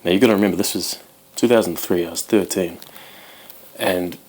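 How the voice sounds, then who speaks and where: A man's voice: low-pitched, fairly even intonation, brisk, darkish tone, low-quality recording. A man in his twenties, born in Wales, chatting casually to camera as host of his guitar channel, rambling with many ums. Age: 20s.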